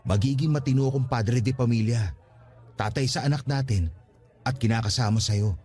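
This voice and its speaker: A man's voice: medium pitch, moderate pace, mellow, natural voice. An actor in a radio drama playing an earnest, defensive young father pleading with his ex for another chance.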